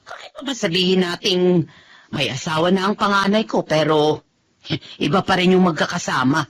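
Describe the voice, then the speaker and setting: A woman's voice: fairly low pitch, moderate pace, a little hiss or hum, close to an everyday voice. An actress playing a sentimental middle-aged mother in a Filipino radio drama. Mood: wistful.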